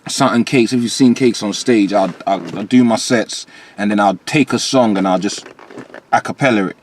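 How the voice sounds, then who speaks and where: Animated London rapper telling stories. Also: male voice, moderate pace, slight background noise. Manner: animated storytelling with London slang; lively, rising pitch when quoting himself. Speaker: rapper guest. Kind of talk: candid interview chat.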